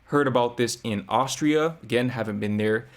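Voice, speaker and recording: Man giving relaxed commentary. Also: medium pitch, moderate pace. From United States. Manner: reflective, explanatory, conversational. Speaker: reaction vlogger. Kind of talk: casual commentary.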